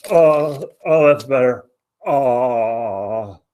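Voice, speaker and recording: Hapless male soldier played by an actor: very slow, hesitant, deadpan complainer in a radio comedy. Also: mid-range voice, slight background noise. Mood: whiny, distracted. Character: deadpan, hapless soldier preoccupied with minor discomforts. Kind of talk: comic radio play acting.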